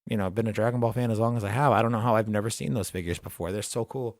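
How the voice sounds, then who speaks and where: A man hosting a casual podcast interview, talking in a relaxed, rambling way with plenty of fillers. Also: fairly low pitch, racing, no echo, studio quality. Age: adult collector who grew up with the show. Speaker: podcast host.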